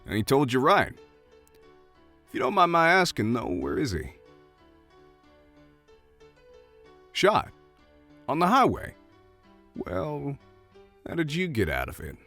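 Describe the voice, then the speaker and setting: A man's voice: medium pitch, huge pitch swings, a little slow, long pauses, faint music behind, full character voice. A man playing a friendly, chatty Old West saloon bartender with a hesitant, folksy way of talking. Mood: curious, surprised. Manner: hesitant, folksy, soft-hearted. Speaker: voice actor. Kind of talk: audio roleplay acting addressed to the listener.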